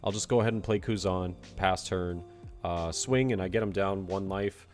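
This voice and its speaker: A young man with a congested voice, casually commenting on a card game match with relaxed, filler-heavy play-by-play and some self-criticism. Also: low-pitched, moderate pace, soft background music.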